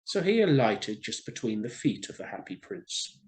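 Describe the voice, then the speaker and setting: A man reading a children's story aloud as an expressive storyteller. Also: medium pitch, moderate pace.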